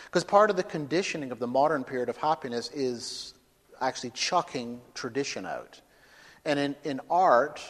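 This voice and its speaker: A man giving a public lecture and taking questions, speaking emphatically and building to loud, forceful peaks. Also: mid-range voice, husky. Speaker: speaker at a talk. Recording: reverberant hall.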